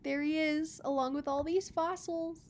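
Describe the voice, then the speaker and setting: A woman's voice: sits high, fairly even intonation, moderate pace, a little mellow. A woman reading a children's picture book aloud as storyteller, expressively, with chatty casual asides.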